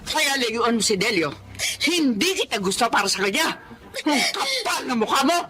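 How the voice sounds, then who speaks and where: Shrill, cruel Filipina mistress. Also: female voice, very animated, moderate pace, bright, forceful tone. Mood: accusing, angry. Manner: shrill, domineering, berating. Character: cruel, haughty older mistress of the house, scolding. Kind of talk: Filipino radio drama acting.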